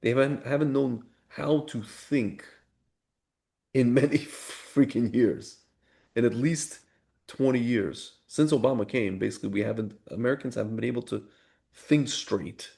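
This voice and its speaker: A man's voice: medium pitch, unhurried, creaky, mellow. A man co-hosting a casual discussion podcast, colloquial and insistent, repeating phrases for emphasis as he gives his opinions. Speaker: podcast co-host.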